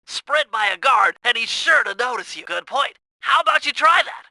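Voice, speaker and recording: A man's voice: very high voice, expressive, moderate pace, sharp tone, no echo, full character voice. A man voicing a brainy, fussy turtle mission planner in a video game, nasal and precise, explaining things in wordy technical terms. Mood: coaching, confident. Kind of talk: video game tutorial voice acting.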